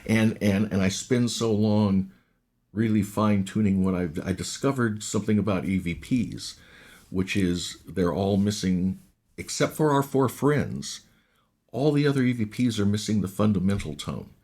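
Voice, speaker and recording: Give me a low, husky-voiced man in his sixties who chats as an interview guest and explains things at length. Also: normal speed, darkish tone. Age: 60s. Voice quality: raspy. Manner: explains at length, with many restarts. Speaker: guest, ghost hunter and recording engineer. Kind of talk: casual interview chat.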